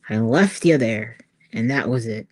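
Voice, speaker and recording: A woman artist chatting informally to her viewers as she works, halting and thinking aloud. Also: rumbling low. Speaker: author-artist hosting a creative livestream. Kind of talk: casual chat to viewers while working on art.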